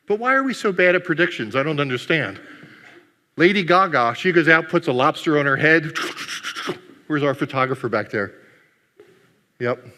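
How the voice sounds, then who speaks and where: A man's voice: on the high side, sharp tone. Joking man on a conference stage. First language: Greek. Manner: playful, joking with the audience. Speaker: conference speaker. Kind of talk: humorous keynote talk. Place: conference hall. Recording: long hall reverb.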